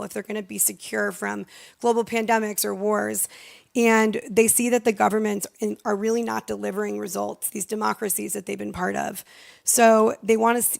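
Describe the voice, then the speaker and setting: Young woman with heavy vocal fry. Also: mid-range voice. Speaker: youth democracy network staffer, panelist. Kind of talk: semi-formal panel remarks, partly improvised.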